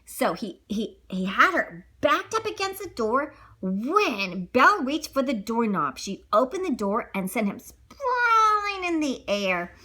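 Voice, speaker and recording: Woman storyteller, children's read-aloud, theatrical, very lively pitch, bright tone, improvised feel. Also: measured pace, few pauses.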